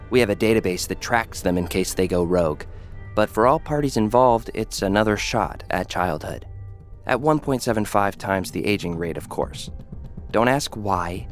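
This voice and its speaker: Smug man narrating an audio drama over music. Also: on the low side. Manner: arrogant, condescending, dry sarcasm. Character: arrogant male scientist who builds people. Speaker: narrator and lead character. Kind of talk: scripted first-person audio drama narration.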